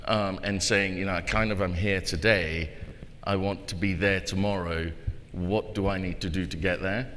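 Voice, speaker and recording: A man speaking reflectively as a conference panelist, halting and repeating words as he thinks, recorded in a live hall. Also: low voice, fairly bright tone. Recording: echoey hall with background noise.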